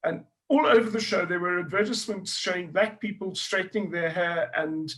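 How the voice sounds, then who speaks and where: A man's voice: high voice, moderate pace, a bit bright. A middle-aged man with a South African accent, a historian speaking as a guest in a panel discussion, earnest and thoughtful, often restarting his phrases. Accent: South African English.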